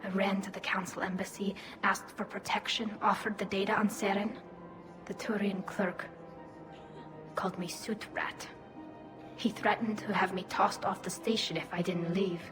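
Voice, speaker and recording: An actress playing a gentle, earnest young alien refugee in a video game, speaking in a measured, reflective way over music. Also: female voice, medium pitch, level tone, natural voice. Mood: recounting, hurt.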